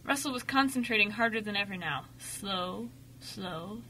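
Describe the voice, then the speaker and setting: A woman narrating a scripted radio story dramatically, with expressive, emphatic delivery. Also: mid-range voice, normal speed, rough recording. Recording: faint noise, amateur radio show recording.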